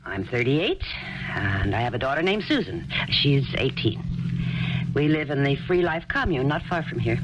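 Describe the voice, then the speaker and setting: A gentle, coaxing commune mother. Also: very deep voice, very noisy. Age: 30s. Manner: soft, coaxing and warmly insistent. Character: gentle dreamy woman poet living in a commune. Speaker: mother in a radio drama. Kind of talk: 1970s radio drama acting.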